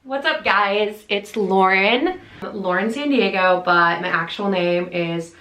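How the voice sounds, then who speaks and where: A woman's voice: medium pitch, slow, raised voice, heavy background noise. A woman in her late twenties talking candidly to camera, chatty and open, with lots of fillers and restarts. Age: late 20s. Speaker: content creator. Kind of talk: casual talk to camera. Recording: echoey room.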